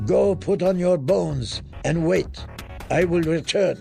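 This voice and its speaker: A man reading as a slow, imperious red priestess. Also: fairly high pitch, vocal fry, dark tone, soft background music, exaggerated voice. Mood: commanding. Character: proud, commanding red priestess woman.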